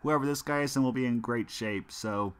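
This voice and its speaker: A man's voice: medium pitch, brisk, clear, resonant tone. A young American man streaming a mobile football game, chatting with viewers in a rambling way with lots of fillers and restarts. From United States. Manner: chatty, talks to viewers while playing. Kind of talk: casual gameplay stream chat.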